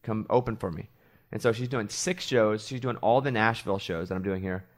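A man's voice: lowish voice, fairly quick. A man from Arkansas, a morning show host, chatting casually on a podcast and telling rambling stories with self-deprecating asides.

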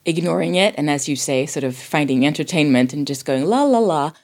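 A woman's voice: deep voice, expressive, fairly quick, a little mellow. A woman in her thirties or forties talking casually about a film, earnest and reflective. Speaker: podcast guest discussing film.

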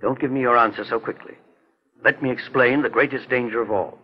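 An actor playing a calm, mature male private investigator in a 1940s radio drama, speaking in a measured, earnest, authoritative way. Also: medium pitch, slightly rough, lightly acted. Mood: grave, deliberate. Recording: muffled vintage 1940s radio recording.

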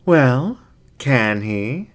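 A man voicing a seductive, commanding male fox demon ringmaster in a story reading, slow, grandiose and coaxing. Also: mid-range voice, lively intonation, pitched above the performer's own voice. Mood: pleased, teasing.

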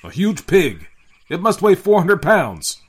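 A man's voice: medium pitch, lively intonation, a little fast, pitched above the performer's own voice, close to an everyday voice. A blustering spaceship captain from a science fiction storybook, played by a man reading aloud. He is blunt and bullying, and his raised, pushed voice makes every line a demand. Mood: loud disgust. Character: brash, gruff, bullying male spaceship captain.

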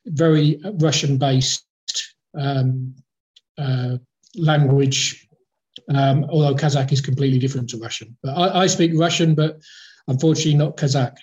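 A man's voice: medium pitch, steady tone, moderate pace, darkish tone, dull audio. A man, a football club executive, talking as a guest in a casual interview, rambling and hesitant with many fillers and restarts. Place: in the capital of Kazakhstan.

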